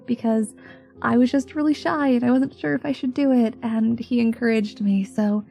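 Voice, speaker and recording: A woman's voice: a little high, vocal fry, dark tone. A woman channel host chatting casually and earnestly to her listeners, with an appreciative manner.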